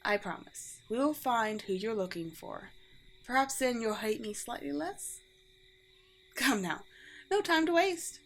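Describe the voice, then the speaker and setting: A woman playing a courteous, teasing, world-weary vampire queen in a roleplay audio drama, with formal, old-fashioned and gently wry phrasing. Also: on the high side, lively intonation, measured pace. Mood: gracious, playful. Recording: clear background noise with ambience.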